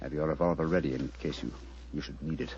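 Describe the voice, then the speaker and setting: A deep british detective, grave n commanding, clipped theatrical delivery, muffled hissy 40s radio play. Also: male voice, quick pace, darkish tone. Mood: hushed, tense. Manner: precise, clipped, theatrical diction. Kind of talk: 1940s radio drama acting. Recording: dull, noisy 1940s radio recording.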